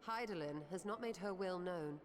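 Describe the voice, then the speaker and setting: A woman voice-acting a composed, learned scholar in a fantasy video game, speaking formally with measured, archaic diction. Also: on the low side, lightly acted. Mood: measured, thoughtful. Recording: long hall reverb under game music.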